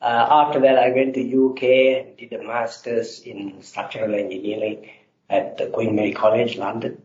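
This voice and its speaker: A middle-aged man from Sri Lanka, answering interview questions in a halting way with many filler sounds. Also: mid-range voice, little pitch movement, darkish tone. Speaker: guest engineer. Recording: roomy, slightly dull sound.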